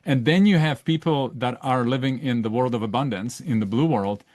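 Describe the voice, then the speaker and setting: Dry-sounding host from Czechoslovakia. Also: male voice, medium pitch, normal speed, a little mellow, no room sound. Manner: searching, self-correcting, with frequent "right?" tags. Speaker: podcast host. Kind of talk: casual conversational interview.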